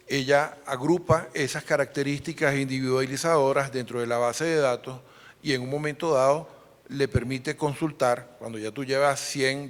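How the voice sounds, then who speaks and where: A man presenting a formal, explanatory conference lecture as interpreter, with hesitant pauses. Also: mid-range voice. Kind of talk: conference lecture on numismatics, relayed for another speaker. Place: large conference hall. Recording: echoey hall with long reverb.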